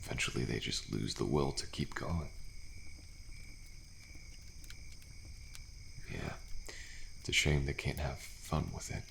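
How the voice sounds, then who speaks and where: Man playing a very deep, gravelly, weary survivor. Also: a bit bright, a little hiss or hum, heavily acted. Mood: weary, advising. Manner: slow, drawling, laid-back, with pauses and sighs. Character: weary, thrill-seeking male survivor of endless killer trials. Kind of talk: intimate ASMR audio roleplay. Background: campfire ambience with crickets.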